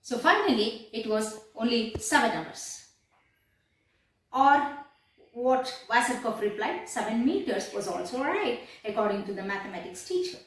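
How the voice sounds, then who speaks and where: Female teacher, lively swooping intonation, explanatory storytelling, recorded distant in a very echoey room. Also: a little high. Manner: deliberate, repeats phrases for emphasis. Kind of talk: lesson retelling a play.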